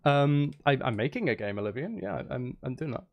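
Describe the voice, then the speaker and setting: A man's voice: medium pitch, expressive, fairly quick, muffled recording. A man chatting casually to viewers on a livestream, rambling, repeating himself and breaking off mid-sentence in a jokey, self-deprecating way. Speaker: live streamer.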